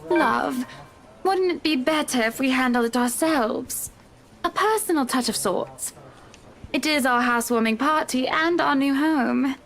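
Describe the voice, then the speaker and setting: A woman's voice: high voice, a little slow, fairly bright tone. An actress playing a wealthy, gracious married woman in a video game, with a gushing, polished and sweet way of talking. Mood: gently insistent. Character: wealthy, bubbly, gracious married woman, an eager house buyer.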